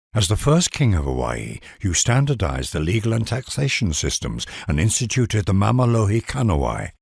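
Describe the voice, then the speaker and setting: Reverent royal herald, game narration, low-pitched, stately, solemn, formal phrasing, very dry close sound. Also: male voice, vocal fry, dry recording, full character voice. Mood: solemn, exalting. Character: reverent herald addressing a great ruler. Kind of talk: scripted grand narration.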